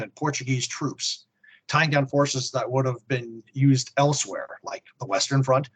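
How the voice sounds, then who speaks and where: A man's voice: mid-range voice, a bit bright, slightly rough, poor audio. Man reading a comic book report. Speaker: podcast co-host. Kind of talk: comic book report read from a script with asides.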